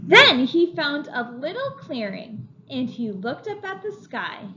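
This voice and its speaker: A preschool teacher reading a picture book aloud, with lively, expressive storytelling for small children. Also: female voice, sits high, takes it slowly. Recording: dull lo-fi sound with faint room noise.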